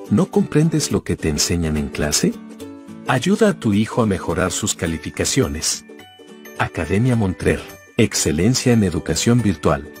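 A man reading a commercial voice-over in a persuasive, announcer style. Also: on the low side. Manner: persuasive, lively delivery.

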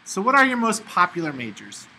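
A man interviewing university representatives and repeating the questions briskly. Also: high voice, huge pitch swings, vocal fry, sharp tone, breathy edge, poor audio.

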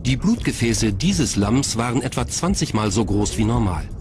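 Male narrator for a scripted documentary, mid-pitched voice, clear background noise. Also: expressive, normal speed.

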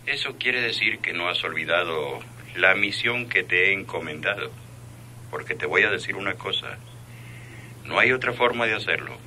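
A menacing crime boss from a Mexican radio drama, commanding and sardonic. The voice is low and piercingly forceful, with a Mexican accent. It comes through an old, faintly noisy recording. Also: male voice, lively intonation, normal speed, heavily acted. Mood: threatening. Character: menacing male crime boss ordering a killing.

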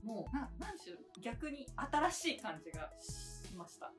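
A woman's voice: a little high, big pitch swings, normal speed, a bit bright. A woman guest answering casual interview questions in a polite, giggly way. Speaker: guest, newly debuted idol performer. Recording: clear music under the voice. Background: background music.

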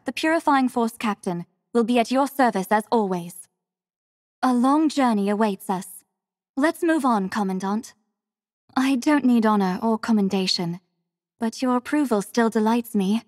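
Woman playing a poised, higher-voiced female android captain. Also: measured pace, dry recording, clean studio sound, lightly acted. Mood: composed, courteous. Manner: formal, polite, earnest. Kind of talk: scripted video game character lines.

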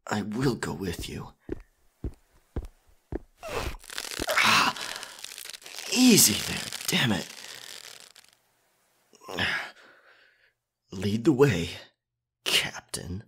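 A man acting out a defiant, roguish, flirtatious captured pirate captain in a husky-voiced ASMR roleplay, with wry, theatrical phrasing. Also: on the low side, big pitch swings, takes it slowly, noisy background. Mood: pained, defiant. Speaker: audio roleplay performer. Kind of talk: ASMR roleplay acting, one-sided dialogue.

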